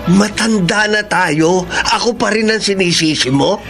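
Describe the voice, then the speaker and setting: An actor playing a stern, self-defending older brother in a radio drama. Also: male voice, high voice, a little slow, creaky, a bit bright, soft background music, full character voice. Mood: stern, defensive.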